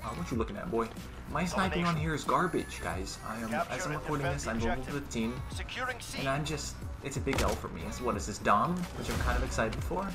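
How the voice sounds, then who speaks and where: A raspy young man who sounds sick and hoarse, talking casually over a first-person shooter. He chats on a headset in a room at home while game gunfire plays behind him. Loud music sits under his voice, and his pitch stays in an ordinary middle range. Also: fairly quick. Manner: casual, slangy, excitable. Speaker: gaming YouTuber. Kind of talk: live gameplay commentary. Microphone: headset with webcam.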